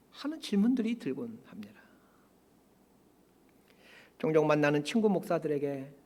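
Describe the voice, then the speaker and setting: A man in his fifties preaching a sermon as a pastor, in measured phrases with pauses and emphatic stresses. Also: a little high, darkish tone. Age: 50s. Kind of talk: sermon, prepared and reflective.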